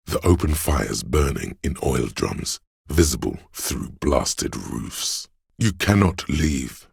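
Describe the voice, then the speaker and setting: An actor narrating a video game as a brooding, solemn inner voice of a city, measured and hushed, with a gravelly, husky tone. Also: male voice, extremely low pitch, no room sound, studio quality, heavily acted. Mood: ominous, mysterious. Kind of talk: atmospheric second-person game narration.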